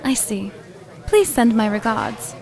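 An actress playing a courteous, formal waitress at an upscale restaurant in an audio drama. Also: female voice, a little high, huge pitch swings, slow, gravelly, a little mellow, a faint crowd, full character voice. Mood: polite. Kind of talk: science fiction audio drama acting.

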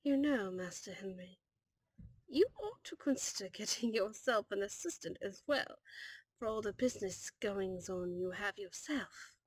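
A woman's voice: medium pitch, animated, unhurried, dry recording, rough recording. A woman voicing a kindly, fussy older female servant who gushes and teases in an ASMR audio roleplay. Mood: fond, chatty. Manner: gushing, motherly teasing, exclamatory.

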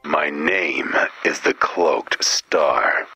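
An actor voicing a brooding, menacing cloaked hero in a game with a hoarse growl. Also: male voice, extremely low pitch, extremely slow, bright, forceful tone, no echo, faint music behind, exaggerated voice. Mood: brooding menace.

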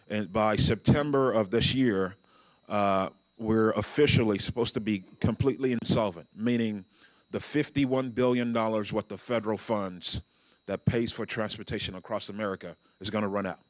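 A middle-aged man with a fairly low voice speaks to a seated crowd in a conference room. He talks loosely, full of ums, restarts and small jokes, into a handheld mic. The sound is dry and close. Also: dry recording. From Nigeria. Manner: conversational, lots of fillers and restarts, joking asides. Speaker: keynote speaker, state transportation official. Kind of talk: conference keynote speech. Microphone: handheld microphone.

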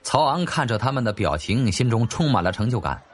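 一位男演播者用戏剧化又略带调侃的口吻讲述历史小说有声书。. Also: male voice, mid-range voice, big pitch swings, a little fast, slightly rough, a faint crowd. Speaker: audiobook narrator. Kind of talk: dramatic storytelling narration of a historical novel. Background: faint background music.

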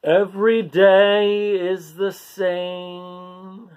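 A man voicing a bored, envious storybook barnacle with a deadpan, drawn-out, complaining delivery. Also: sits high, clear, resonant tone, phone-quality sound, pitched above the performer's own voice. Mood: bored, gloomy. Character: bored, grumbling, envious barnacle from a picture book. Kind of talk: storybook read-aloud.

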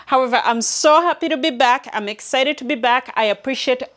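A woman's voice: sits high, fairly quick. Chatty woman from Uganda vlogging at home. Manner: chatty, animated hand gestures, many likes and restarts. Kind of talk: casual talk to camera. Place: large walk-in closet at home, indoors. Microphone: seated, medium framing to camera.

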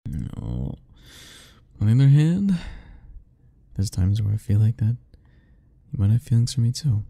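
A young man softly speaking a tender, hesitant ASMR roleplay, musing aloud. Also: on the low side, wildly swooping pitch, measured pace, vocal fry. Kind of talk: soft-spoken ASMR roleplay.

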